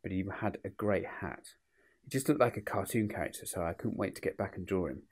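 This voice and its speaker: A man's voice: low-pitched, brisk, slightly rough. A man who sounds sniffly with a cold, chatting informally and unhurriedly to viewers as he talks through a drawing tutorial step by step, as an illustrator and host.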